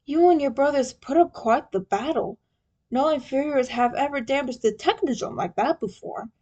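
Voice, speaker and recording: A woman's voice: high-pitched, expressive, normal speed, a little mellow, muffled recording, voice pushed up. A woman voicing a proud, hostile male alien warlord in armor, speaking in a haughty, stilted and curt manner. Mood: disdainful.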